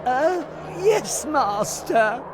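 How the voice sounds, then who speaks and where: A man's voice: extremely high pitch, sing-song, drawn-out words, background noise, heavily acted. An actor playing a timid, dim, obedient male henchman in a cartoon game, hesitant and subservient. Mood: meek.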